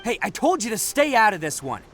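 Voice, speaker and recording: A brash young oni boss from a video game. He swaggers through slangy lines in a lively, highish voice that jumps around in pitch. Clear music runs underneath. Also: brisk. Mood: firm, loyal.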